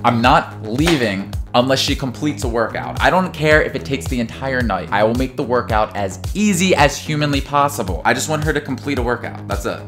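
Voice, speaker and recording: A man's voice: medium pitch, expressive, a little fast, few pauses. Male vlogger, twenties, American, casual and pushy coaching delivery, music underneath. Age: 20s. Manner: teasing, pushy encouragement. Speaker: vlog host, brother coaching his sister. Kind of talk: casual vlog chat and coaching.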